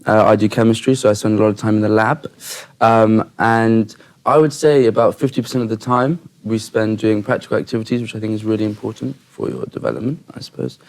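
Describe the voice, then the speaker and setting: Fast-talking gravelly young man from England. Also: on the low side. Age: young adult. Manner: rambling, hesitant restarts, lots of "um" and "like". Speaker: student guest. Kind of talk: casual chat.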